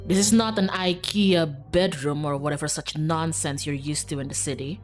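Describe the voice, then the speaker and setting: A woman's voice: sits low, normal speed, natural voice. A woman voicing a stern, testy young female cousin with a curt, condescending, formal manner in a visual novel. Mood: dismissive. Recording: background music.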